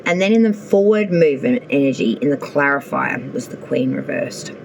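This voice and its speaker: A woman's voice: rumbling low, expressive, moderate pace, gravelly, darkish tone, a little hiss or hum, poor audio. A woman doing a casual tarot reading, chatty and thinking aloud as she draws cards, with an offhand swear now and then. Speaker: tarot reader.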